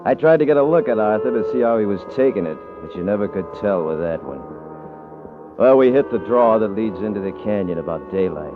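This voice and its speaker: An American actor playing an arrogant, bullying cowboy rancher in a radio Western, with a folksy drawl and rough grammar. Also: male voice, on the low side, animated, fairly quick, lightly acted. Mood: wry, storytelling. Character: arrogant, bullying, leering Western rancher man, mocking and short-tempered. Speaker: actor and first-person narrator. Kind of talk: old-time radio drama acting, rustic Western dialect. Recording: dull vintage radio recording with music behind.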